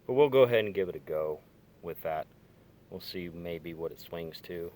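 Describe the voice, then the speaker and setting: A man giving a casual hands-on demonstration in a halting, tentative way, recorded on a handheld camera inside a car. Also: sits low, lively intonation, warm tone. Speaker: hobbyist demonstrating radio gear. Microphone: handheld camera or phone.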